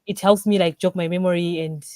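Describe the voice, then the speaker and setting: Female interview guest, Zimbabwean accent, fairly low and mellow, casual conversational delivery. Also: fairly even intonation, brisk, no echo, clean studio sound. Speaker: interview guest, craft brewer.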